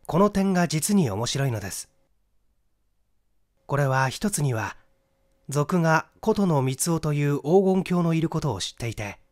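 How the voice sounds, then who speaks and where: Man playing a lively, confident young amateur detective. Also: fairly high pitch, natural voice. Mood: confident reasoning. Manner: explanatory, methodical, rhetorical questioning. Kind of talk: Japanese mystery audiobook acting.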